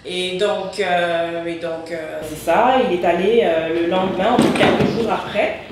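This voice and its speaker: A French woman, a guest in an interview, answering haltingly with many fillers. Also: lowish voice, fairly even intonation, very noisy. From France. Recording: echoey, distant mic.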